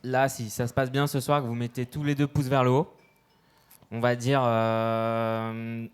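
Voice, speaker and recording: A man's voice: medium pitch, level tone, a little slow, clear, resonant tone. A man hosting a dating show, asking questions and teasing the guests in casual slang. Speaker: show host.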